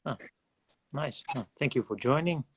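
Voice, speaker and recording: A man's voice: mid-range voice, moderate pace, gravelly, fairly bright tone, breathy edge. A man hosting a casual interview, asking friendly short questions. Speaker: host.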